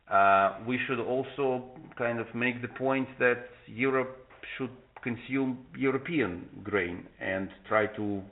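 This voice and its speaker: A man with a Ukrainian accent talks over a narrow, tinny phone connection. He speaks haltingly, like an official on an informal call, often repeating and reworking his phrases. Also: mid-range voice. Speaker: government minister. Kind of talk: informal diplomatic phone conversation.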